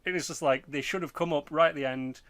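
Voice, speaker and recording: A man's voice: fairly high pitch, a bit bright, dry recording. Man, rambling casual podcast talker, dry sound. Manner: rambling, sweary, halting delivery. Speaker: podcast host. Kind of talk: casual chat about game movies.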